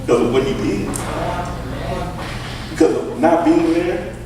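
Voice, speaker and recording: A man preaching a sermon, teasing his congregation and swinging between low intimate talk and shouted preaching. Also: unhurried, background noise. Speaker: pastor. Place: large church hall. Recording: echoey hall from far off. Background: congregation responding.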